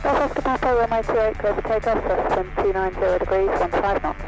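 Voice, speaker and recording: A man's voice: high voice, brisk, rough recording. A man working as an air traffic controller, giving clipped instructions over an aircraft radio. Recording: aircraft radio with loud music.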